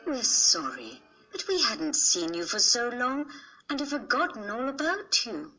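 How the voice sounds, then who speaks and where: Kind fairy woman voicing, high and gentle, unhurried, faint music under. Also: very animated, heavily acted, poor audio. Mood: earnest. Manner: soft, reassuring delivery. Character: kindly good fairy, gentle and soothing. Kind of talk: storybook character reading.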